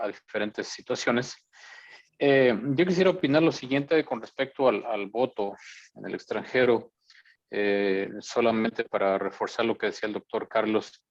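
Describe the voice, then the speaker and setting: A man from Guanajuato, Mexico, speaking over a video call as a forum participant, polite and hesitant with many fillers. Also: lowish voice. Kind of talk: remarks over a remote call. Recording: dull lo-fi call audio.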